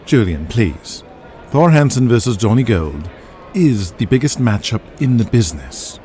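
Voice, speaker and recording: A man's voice: on the low side, very animated, a little slow, mellow. A man voicing a brash, pushy male wrestling promoter in an audio drama, urgent and emphatic. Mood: pleading.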